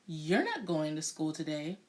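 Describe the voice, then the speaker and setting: A woman's voice: low-pitched, very animated, measured pace, voice pushed down. Woman acting out a fussy, dramatic storybook mother. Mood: stern. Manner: exclamatory, dramatic. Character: fussy, anxious mother, easily shocked and bossy. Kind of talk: children's storybook read-aloud.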